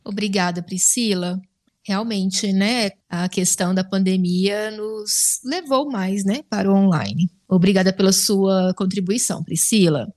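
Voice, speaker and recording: A woman's voice: medium pitch, a little slow, darkish tone. Brazilian woman hosting an interview. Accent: Brazilian Portuguese. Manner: courteous, addresses guests by name. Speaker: host, interviewer. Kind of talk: interview questioning.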